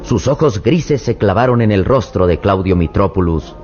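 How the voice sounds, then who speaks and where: A man's voice: lowish voice, animated, normal speed. A man narrating a vintage radio drama in a dramatic, suspenseful manner. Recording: dull lo-fi old radio recording with loud music.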